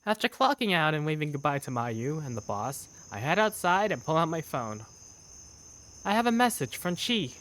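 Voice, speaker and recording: Woman as expressive low-voiced young male student. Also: normal speed. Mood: reflective. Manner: expressive first-person storytelling. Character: earnest young male student narrating his everyday life. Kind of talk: visual novel narration and dialogue. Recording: background music under the voice.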